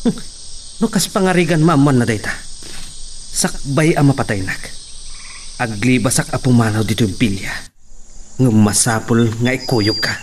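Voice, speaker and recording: A man's voice: mid-range voice, normal speed, pauses often, lightly acted. A man playing a lecherous, bossy guard in a radio drama, speaking with menace and insinuation. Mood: threatening. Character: lecherous, threatening guard pestering a young woman. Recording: faint background noise.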